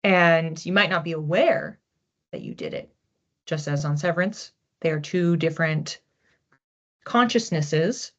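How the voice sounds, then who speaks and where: A woman giving a psychic reading, musing aloud and often repeating words as she searches for what comes through. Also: sits low. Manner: hesitant, repeats words while searching for images. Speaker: psychic reader. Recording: dull lo-fi recording.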